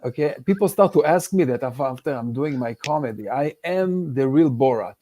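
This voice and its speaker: A man with an Israeli accent and Hebrew as his first language, doing a deadpan stand-up set over a video call with halting restarts. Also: mid-range voice. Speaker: stand-up comedian.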